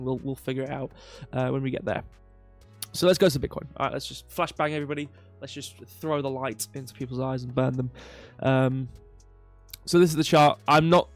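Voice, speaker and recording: Nasal man rambling on a livestream. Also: fairly high pitch. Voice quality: slightly nasal, stuffy nose. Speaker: crypto livestream host. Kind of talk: casual rambling livestream market commentary. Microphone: close microphone.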